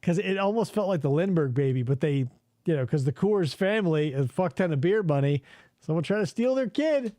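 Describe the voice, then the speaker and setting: A man's voice: on the high side, lively intonation, a little fast, warm tone. A man co-hosting a podcast, riffing casually on old commercials with crude jokes and mock voices. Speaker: podcast co-host.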